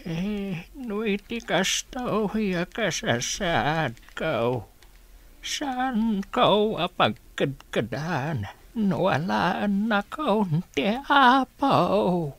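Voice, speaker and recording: A woman acting as a weary, tender elderly father in a radio drama, speaking haltingly in a creaky voice. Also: mid-range voice, big pitch swings, a bit bright, heavily acted. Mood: weary, gentle. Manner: slow, halting.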